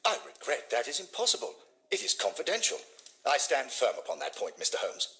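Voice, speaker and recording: An actor playing a formal, eloquent, guarded Victorian physician in a video game, with a British accent and a theatrical manner. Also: male voice, mid-range voice, wildly swooping pitch, fairly quick, a bit bright. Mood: firm, polite. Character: smooth, affable but guarded Victorian physician to a lord. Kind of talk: scripted video game dialogue acting.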